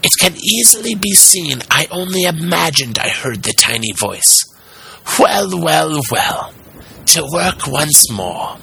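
A man voicing an excitable old carpenter in a storybook, muttering to himself in an exaggerated, easily frightened way. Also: on the high side, takes it slowly, creaky, slight background noise, voice pushed up, heavily acted, low-quality recording. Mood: uneasy, forced cheer. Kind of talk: storybook character voice.

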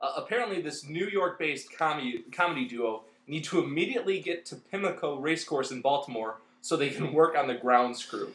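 Bright-voiced male co-host, roomy sound. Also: a little high, expressive, a little fast, hardly any pauses. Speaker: podcast co-host. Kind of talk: wry casual commentary. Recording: roomy, echoing room sound.

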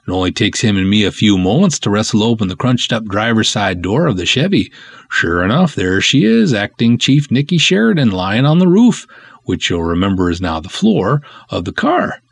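A man plays an eager, folksy cop in a comic audio serial. He is earnest and dutiful, with a lively, swooping delivery and a slightly husky, low-pitched voice. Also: moderate pace, no room sound, exaggerated voice. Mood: earnest storytelling. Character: eager, earnest Norwegian-American canoe cop named Sven, simple and dutiful. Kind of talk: comic first-person serial storytelling.

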